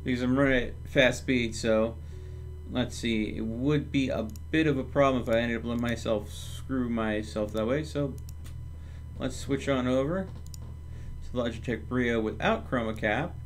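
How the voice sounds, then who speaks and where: A man's voice: mid-range voice, moderate pace, a little hiss or hum. A man hosting a livestream, casually talking through his game step by step and chatting with viewers. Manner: thinks aloud step by step.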